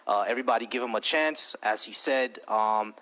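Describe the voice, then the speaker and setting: A man's voice: lowish voice, normal speed, sharp tone. A man hosting a live debate stream who talks in a laid-back, slangy, easygoing way. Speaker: host.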